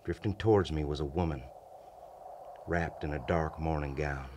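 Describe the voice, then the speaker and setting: Deep-voiced, haunted Confederate lieutenant. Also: male voice, noisy background. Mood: dread, despair. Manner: grave, formal, deliberate storytelling. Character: haunted, desperate Confederate lieutenant recounting a horror from his journal. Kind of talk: dramatic old-time radio play narration. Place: small radio studio. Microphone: vintage-style studio microphone at close range. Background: music.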